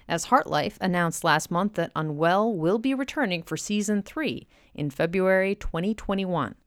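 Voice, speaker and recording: A woman's voice: medium pitch, clean tone, dry recording, clean studio sound. A woman podcast host giving a semi-scripted introduction in an enthusiastic, emphatic manner.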